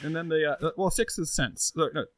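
A man's voice: a little high, a little fast, a bit bright, no room sound. A man co-hosting a casual podcast, riffing playfully on wordplay. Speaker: podcast co-host.

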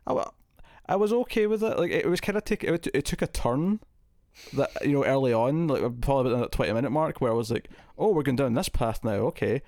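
A man hosting a casual film chat. He talks in a chatty, loose way, laughs often and restarts his words. The voice sounds dry and close, at a middle pitch. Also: expressive, quick pace, a little mellow, no echo. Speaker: podcast host.

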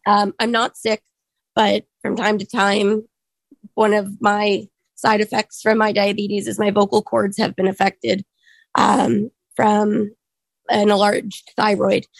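A woman in her thirties speaks with a hoarse voice that has a gravelly, fry-laden edge. She talks earnestly and reflectively and often stops to pause with an um. The recording is dry and close. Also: mid-range voice, level tone, dry recording. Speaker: speaker sharing her story. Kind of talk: personal testimony talk.

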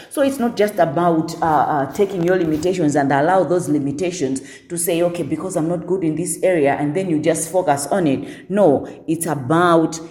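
A woman with a notably deep voice speaks with firm, assertive confidence, often doubling words as she drives a point home. Her tone is dark and mellow, sitting low for a woman. The recording carries a noticeable room echo. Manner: assertive, repeats words when emphasizing. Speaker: guest. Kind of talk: casual podcast conversation.

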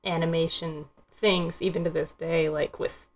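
A woman's voice: low-pitched, flat delivery, moderate pace. A woman in the role of host on a casual movie review show. She talks in a conversational way and often steers the others with short, simple questions. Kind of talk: casual group review chat.

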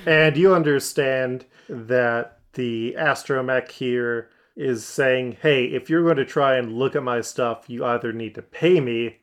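A game master running a tabletop roleplaying game, talking in a relaxed way with many fillers. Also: medium pitch, a little slow, hardly any pauses.

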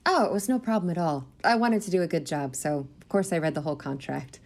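An actress playing a young new teacher in an audio play, hesitant, earnest and eager to please. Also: female voice, lowish voice, quick pace, a little mellow. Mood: nervous, careful. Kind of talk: naturalistic audio drama acting.

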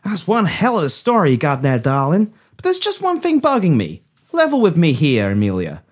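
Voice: mid-range voice, wildly swooping pitch, moderate pace, husky. A woman playing a baffled, slangy hard-boiled detective in a pulp radio play. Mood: incredulous. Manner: slangy, incredulous. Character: Rusty, baffled hard-boiled 1920s-style detective narrator.

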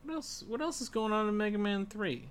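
A man co-hosting a casual gaming podcast, speaking in a halting, hesitant way. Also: sits high, lively intonation. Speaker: podcast co-host. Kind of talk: casual video game podcast chat.

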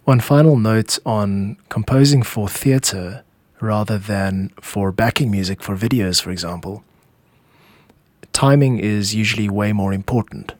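A man's voice: on the low side, a little slow, darkish tone, no room sound. A man narrating a scripted podcast as its host, explaining how music is made.

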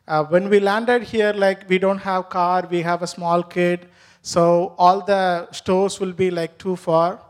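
A man with an Indian accent shares a heartfelt testimony, stopping often to search for words. His voice sits high for a man. He sounds earnest and thankful. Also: little pitch movement. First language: Tamil. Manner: halting, many fillers, earnest and grateful. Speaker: church member giving testimony. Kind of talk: personal testimony in an interview.

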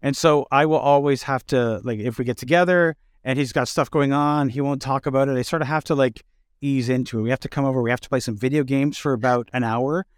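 A man hosting a relaxed, conversational interview, informal and affirming, feeling out his questions as he talks. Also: mid-range voice, fairly quick, clear, resonant tone, no echo, clean studio sound. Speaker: interview host.

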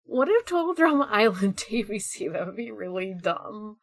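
A woman's voice: mid-range voice, sing-song, normal speed, dry recording. A woman in casual podcast banter, recorded close and dry. She rambles, swears freely and tends to giggle as she talks. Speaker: podcast co-host.

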